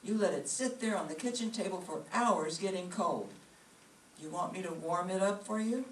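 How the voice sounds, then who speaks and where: A woman's voice: fairly low pitch, normal speed, lightly acted. A woman playing a nagging, exasperated wife in an old-time radio comedy staged live. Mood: exasperated, scolding. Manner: scolding, exasperated. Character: nagging, put-upon wife of a bickering couple. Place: live stage performance before an audience. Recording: roomy, distant mic, faint noise.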